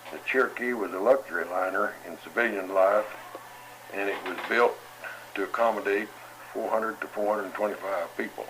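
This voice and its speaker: Deep-voiced elderly veteran reminiscing, over music. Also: male voice, normal speed, a bit bright, a little hiss or hum, low-quality recording. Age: 80s or older. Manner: plain, matter-of-fact storytelling. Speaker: war veteran. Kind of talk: oral history reminiscence.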